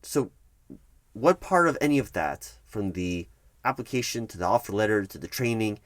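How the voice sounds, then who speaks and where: Low-voiced man as officious HR manager. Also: lively intonation, dry recording. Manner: measured, explanatory, officious, halting emphasis. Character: corporate HR manager, firm, bureaucratic man. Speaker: roleplay performer as HR recruiting manager. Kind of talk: one-sided ASMR office roleplay, conversational.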